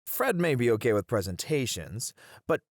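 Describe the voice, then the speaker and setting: A man's voice: medium pitch, big pitch swings, normal speed, dry recording, clean studio sound. A man playing a playful, theatrical colleague at a college who loves teasing, with a dramatic, flowery manner in an ASMR roleplay. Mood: teasing, amused.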